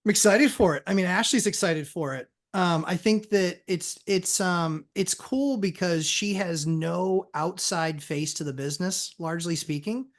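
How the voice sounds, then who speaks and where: A married man and real estate investor, talking earnestly as a podcast guest and telling his story in quick bursts. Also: sits high. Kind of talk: casual podcast chat.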